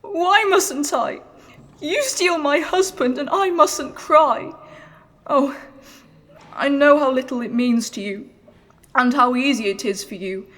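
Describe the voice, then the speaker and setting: A woman's voice: sits high, slow pace, pauses often, a bit bright. A young woman acting in an audio drama as a jealous, aggrieved wife, speaking in an earnest, plaintive and dramatic way. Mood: tearful, resentful. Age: young adult. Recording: reverberant hall-like room.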